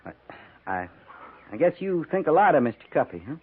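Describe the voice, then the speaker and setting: An actor playing an eager, admiring soldier in a 1950s radio western, speaking in a keen, hesitant, deferential manner. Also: male voice, on the high side, very animated, fairly quick, narrow, tinny audio. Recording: thin, band-limited 1950s radio recording.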